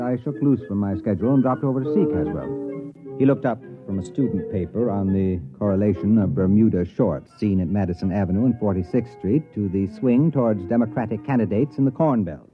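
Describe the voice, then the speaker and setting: A man's voice: fairly low pitch, lively intonation, brisk, close to an everyday voice. An actor playing a sardonic, impatient university administrator, telling his story with dry wit in classic radio drama style. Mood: wry narrating. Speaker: narrator and lead actor. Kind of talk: classic radio drama acting and first-person narration. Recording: muffled vintage radio recording with music.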